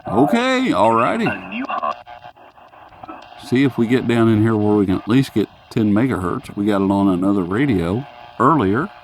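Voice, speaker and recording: A man's voice: on the low side, very animated, moderate pace, slight background noise, low-quality recording. A man chatting casually through a hands-on gadget review in a rambling, folksy, self-mocking way, as a hobbyist reviewer.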